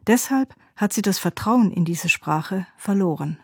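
A woman presenting a radio culture feature, reading a scripted narration in a calm, measured way. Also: medium pitch, slightly rough, no echo, studio quality.

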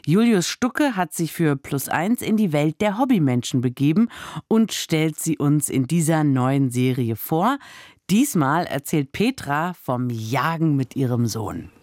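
A woman's voice: low-pitched, lively intonation, measured pace, a little mellow, no room sound, clean studio sound. A woman presenter reads a scripted introduction to a radio feature. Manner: clear, read-aloud announcing.

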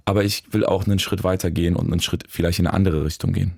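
A man, a musician, talking reflectively in an interview, searching for words with pauses and restarts. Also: low-pitched, fairly even intonation, vocal fry, a little mellow, breathy edge, no echo, studio quality. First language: German. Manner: thoughtful, searching, with fillers and restarts. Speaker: musician guest.

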